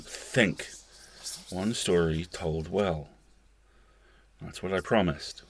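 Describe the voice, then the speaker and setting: A man's voice: low voice, a bit bright. A man playing an anguished captive storyteller in a theatrical audio horror monologue, moving between muttering and outcry. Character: desperate, anguished captive storyteller trapped by a witch.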